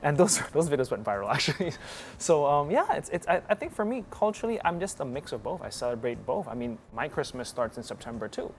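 A man's voice: on the high side, sing-song, quick pace, a little hiss or hum. A man who grew up in Hong Kong, speaking as a guest in a casual interview, open and upbeat.

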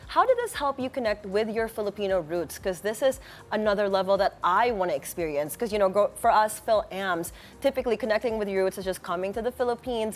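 A woman interviewer asking questions in a casual, enthusiastic way. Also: medium pitch.

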